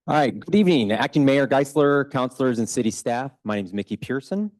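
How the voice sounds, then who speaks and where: A man reading a prepared public comment at a city council meeting in a steady, formal way, now and then tripping over a word. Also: medium pitch, brisk. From Northeast Minnesota. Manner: steady, formal delivery with occasional stumbles. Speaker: economic development speaker at public comment.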